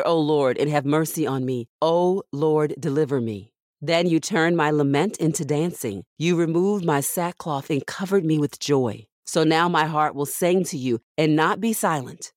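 Low-pitched woman reading scripture, close and dry. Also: darkish tone, no echo. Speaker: podcast scripture reader. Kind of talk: scripted devotional Bible reading.

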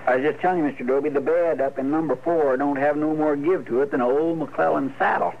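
Noisy vintage radio western, fussy rustic townsman, high-set voice, rural Western twang. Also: fairly even intonation, fast talker, vocal fry, phone-quality sound. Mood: folksy, earnest. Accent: rural Western American. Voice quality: twangy drawl. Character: folksy frontier townsman, loyal, fussy, easily riled. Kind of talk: 1950s radio western acting. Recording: noisy old radio recording.

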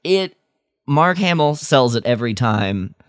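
A man's voice: mid-range voice, sing-song, unhurried, no room sound, studio quality. A man co-hosting a podcast in casual chat, speaking emphatically and with enthusiasm. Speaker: podcast co-host.

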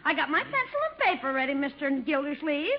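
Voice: sits high, sing-song, fast. A performer playing a wisecracking American schoolboy nephew in a radio sitcom, brash and slangy. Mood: eager, impudent. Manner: brash, slangy, smart-alecky. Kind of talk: 1950s radio sitcom acting. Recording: muffled, noisy old radio recording with clicks.

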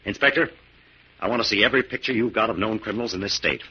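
An actor playing a confident, take-charge American crime-fighter in 1940s radio style, brisk and authoritative, on a muffled old recording. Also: male voice, lowish voice, sharp tone, slightly rough, full character voice. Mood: assured.

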